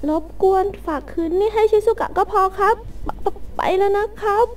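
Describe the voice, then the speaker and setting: A woman's voice: extremely high pitch, normal speed, a little mellow. A woman voicing a timid, whiny, heartbroken schoolboy in a cartoon fan drama, hesitant and pleading. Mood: nervous.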